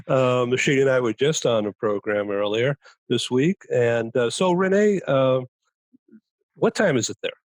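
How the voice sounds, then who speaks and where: A man hosting a talk show panel over a video call, introducing guests briskly with fillers. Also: mid-range voice, dry recording.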